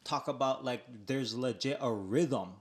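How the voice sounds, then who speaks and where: A man's voice: mid-range voice, measured pace. A man with a Hawaiian Pidgin accent, co-hosting a podcast and steering the talk in an easygoing, playful way. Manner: easygoing, playful, leads the topic. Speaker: podcast co-host. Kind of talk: casual chat.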